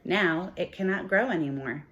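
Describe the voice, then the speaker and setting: A woman teacher reading a picture book aloud to children, with expressive, emphatic delivery. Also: fairly low pitch, moderate pace, dull audio.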